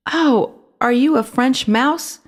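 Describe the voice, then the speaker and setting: A polite, curious young boy from a storybook, speaking gently and earnestly, as a woman reads him aloud. His voice sits higher than a grown-up's. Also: takes it slowly.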